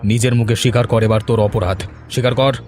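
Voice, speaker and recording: A man's voice: lowish voice, steady tone, fast talker, a little mellow, soft background music, lightly acted. A man playing a stern, tough village police officer with a commanding manner in a cartoon melodrama. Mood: harsh, commanding. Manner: commanding, authoritative.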